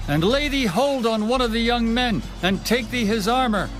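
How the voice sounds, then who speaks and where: Abner, a man voicing a high, urgent army captain. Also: moderate pace. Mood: pleading. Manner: urgent, pleading warnings in old biblical speech. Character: Abner, stern male army commander, warning. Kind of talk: dramatized scripture reading. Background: music.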